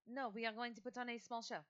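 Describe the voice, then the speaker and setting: A woman's voice: a little high, fairly bright tone, lo-fi sound, pitched above the performer's own voice, natural voice. A woman playing an obliging, slightly weary spellcasting woman with a plain, matter-of-fact manner in a tabletop game.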